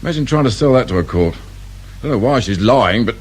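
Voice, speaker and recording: An actor with a British accent playing a shrewd, dry, irritable detective inspector in a radio crime drama. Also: male voice, medium pitch, animated, brisk, husky. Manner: probing, brusque, sardonic.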